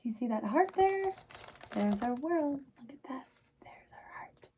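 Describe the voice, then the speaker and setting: A woman's voice: high voice, sing-song, unhurried, mellow. A woman hosting a children's story time, reading aloud and talking to young listeners in a sing-song, encouraging, exaggerated way. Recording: clear background noise.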